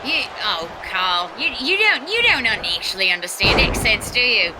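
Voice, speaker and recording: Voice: medium pitch, big pitch swings, normal speed. A color commentator for a wrestling match, bantering and teasing their partner with short, playful reactions. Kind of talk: comedic live commentary. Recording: loud crowd and noise behind.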